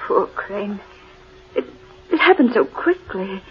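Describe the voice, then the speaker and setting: An actress playing a fearful, distressed woman lost in a dark forest, in a dramatic 1940s radio horror style. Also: mid-range voice, expressive, slow pace. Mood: anxious. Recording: muffled, faintly noisy old radio recording.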